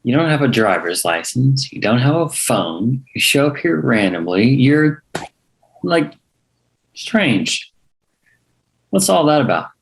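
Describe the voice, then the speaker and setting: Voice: mid-range voice, sing-song, slow, gravelly, pauses often, exaggerated voice, low-quality recording. A reader acts out a blunt, suspicious character with a mid-range voice. The delivery is teasing and sarcastic, in a drama table read.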